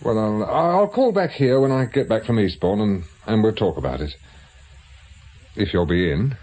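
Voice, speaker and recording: A man's voice: fairly low pitch, animated, normal speed, darkish tone, poor audio. An actor playing a polite, probing man with an English accent in a radio drama, hesitant but persuasive. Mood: awkward, subdued. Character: smooth-talking, probing man posing as crime writer. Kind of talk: naturalistic British radio drama acting.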